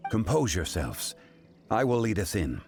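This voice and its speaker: A deep, composed leader. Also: male voice, big pitch swings, loud music behind the voice. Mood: grave. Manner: formal, composed, measured. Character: calm, dignified man, steady leader. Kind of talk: video game voice acting.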